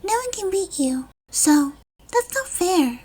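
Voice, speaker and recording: A sweet little girl, acted by a woman reading a story, high in pitch and childlike. She sounds pouty and young, like a small character in an audiobook. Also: sing-song, slow, warm tone, exaggerated voice. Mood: reluctant, pouty.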